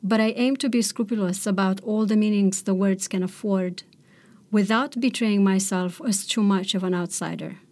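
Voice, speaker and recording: Middle-aged woman, poet guest, measured and reflective. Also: mid-range voice, fairly even intonation, darkish tone. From Romania. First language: Romanian. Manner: thoughtful, measured, searching for words. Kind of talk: poetry reading and reflective interview answers.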